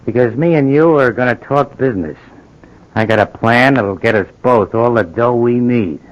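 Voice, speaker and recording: A man's voice: mid-range voice, normal speed, lightly acted. An actor playing a pushy, streetwise stick-up crook in an old-time radio drama, with tough, slangy wise-guy talk that bullies and coaxes. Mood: pushy, persuasive. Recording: dull, noisy old radio broadcast recording.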